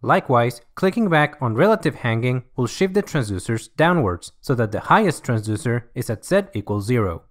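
A man reading scripted software tutorial narration in an instructional, measured way. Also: mid-range voice, sing-song.

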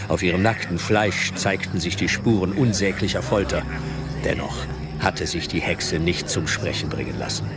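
Deep-voiced male horror narrator. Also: normal speed, very noisy. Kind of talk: scripted dramatic horror narration. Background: loud music.

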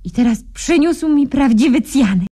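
An actress playing a sweetly scheming, unruffled wife in a satirical radio sketch, saying absurd things in an innocent, matter-of-fact way. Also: female voice, high-pitched, expressive, normal speed, warm tone, breathy edge. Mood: pensive, matter-of-fact.

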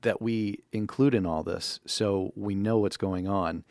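A man's voice: fairly low pitch, steady tone, measured pace, darkish tone. An American man co-hosting a podcast in a casual conversational style, speaking into a close studio microphone. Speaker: podcast co-host and voiceover talent.

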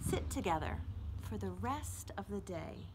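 A middle-aged woman reading poetry aloud expressively with deliberate pauses and casual asides. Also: mid-range voice, animated. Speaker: children's librarian reading to an online audience. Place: outdoors on a house front porch. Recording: outdoor traffic noise, street ambience. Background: street traffic.

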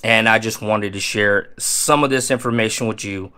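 A man in his thirties giving casual, energetic fishing tips to camera in a small home room, stressing points by repeating them. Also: lowish voice, moderate pace, clear, resonant tone. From Texas Gulf Coast. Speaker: fishing channel host.

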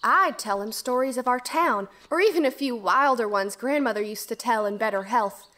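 A woman's voice: on the high side, moderate pace, pushed and bright. A woman playing an earnest, dutiful young girl who tells her own fairy tale formally in an audio drama. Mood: earnest, reflective. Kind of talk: first-person storybook narration and acting in audio drama.

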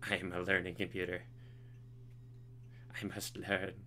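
A man voicing a naive learning computer in a game, in a flat monotone. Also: deep voice, unhurried, slight background noise, full character voice. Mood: earnest.

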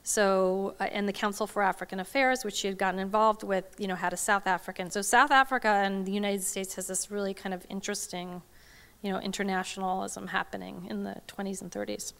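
Smooth-voiced woman lecturing from a podium. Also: mid-range voice, fairly even intonation, a little fast, fairly bright tone. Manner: hesitant, many fillers and restarts. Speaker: lecturer answering audience questions. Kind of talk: academic Q&A talk. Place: large hall with an audience. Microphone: podium microphone.

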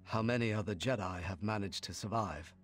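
A man playing an earnest, troubled middle-aged knight in an animated game, grave and urgent in manner. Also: fairly low pitch, brisk, loud music behind the voice, close to an everyday voice. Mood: shocked, grave. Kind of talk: animated video game voice acting.

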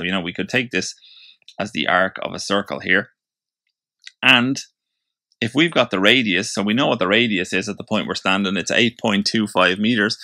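A man's voice: on the low side, pushed and bright, dry recording, studio quality. A man giving an informal tutorial, explaining step by step as he thinks aloud. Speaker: physics tutor. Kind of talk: informal explanatory lesson, working through a problem.

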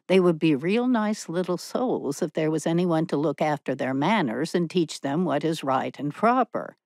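A woman voicing an opinionated, sharp-tongued spinster who gossips with contempt and bitterness in a storybook reading. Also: low voice, lively intonation, moderate pace, no echo, clean studio sound. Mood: indignant gossip.

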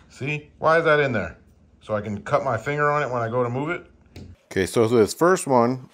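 A man's voice: lowish voice, a little hiss or hum. A middle-aged man hosting a woodworking vlog, talking casually and rambling with self-deprecating humor as he works in his small garage workshop, recorded on a clip-on mic. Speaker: woodworking channel host. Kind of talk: casual how-to vlog talk.

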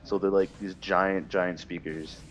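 A man's voice: deep voice, level tone, unhurried, fairly bright tone, slight background noise. A young adult man chatting casually while gaming, griping and rambling with plenty of swearing. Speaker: gamer telling stories while playing. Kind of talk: casual chat during online gameplay.

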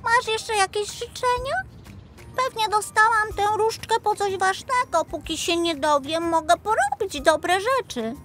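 Woman as a high-voiced eager little girl. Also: animated, a little slow, fairly bright tone, full character voice. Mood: thoughtful. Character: kind, eager five-year-old girl with magic wand. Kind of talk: children's audio fairy tale. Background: music.

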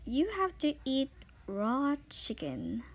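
A woman's voice: sits high, very animated, takes it slowly. A young woman narrating a dare video in a dramatic, self-deprecating manner. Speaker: video creator, host.

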